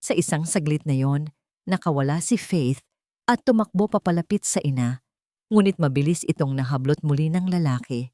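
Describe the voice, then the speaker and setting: Low-voiced Filipino woman narrating drama. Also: moderate pace, mellow, no room sound, studio quality. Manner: emotive, suspenseful storytelling. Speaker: story narrator. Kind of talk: dramatic scripted narration of a Tagalog romance drama.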